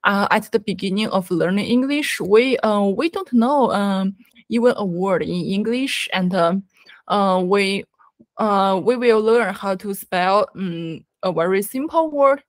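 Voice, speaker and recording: A woman with a Chinese accent speaking English in a casual conversation, halting with many ums and restarts. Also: mid-range voice, no echo. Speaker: guest learner.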